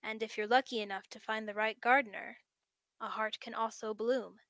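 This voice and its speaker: A woman reading a gentle bearded father. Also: fairly high pitch, steady tone, moderate pace, dry recording. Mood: tender. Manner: soft, tender bedtime tone. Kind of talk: reading a story aloud.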